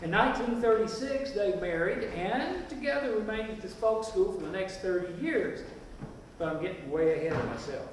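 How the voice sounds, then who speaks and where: A man's voice: high voice, animated, moderate pace. A man playing the storytelling narrator of a historical stage play, addressing an audience in scripted lines. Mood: recounting. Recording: echoey hall from far off, faint noise.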